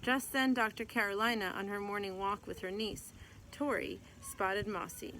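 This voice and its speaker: A woman's voice: medium pitch, steady tone, moderate pace, pushed and bright. Woman reading a storybook, noisy background. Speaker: teacher reading aloud to a class. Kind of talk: picture book read-aloud, scripted narration. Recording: clear background noise.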